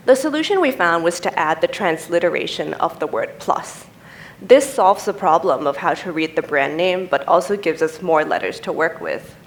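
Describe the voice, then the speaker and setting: A young woman whose first language is Thai presents at a design symposium, speaking in a low, steady, explanatory way. She talks into a podium microphone on an indoor stage. Faint room ambience sits behind her voice. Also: a little hiss or hum. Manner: measured, explanatory, steady delivery. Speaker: type designer giving a conference talk.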